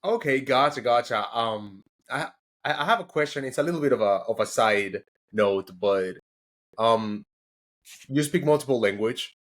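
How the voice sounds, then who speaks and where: A man's voice: mid-range voice, normal speed, a bit bright. A man hosting an interview podcast, talking casually and chattily with many "like"s. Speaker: podcast host. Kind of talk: interview conversation.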